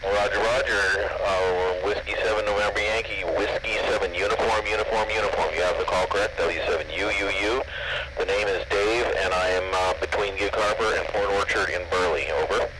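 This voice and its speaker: Low male radio voice buried in noise. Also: fairly even intonation, fairly quick. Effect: two-way VHF radio. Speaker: amateur radio operator answering a call. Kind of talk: brief two-way radio exchange. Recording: very noisy VHF radio reception in aircraft.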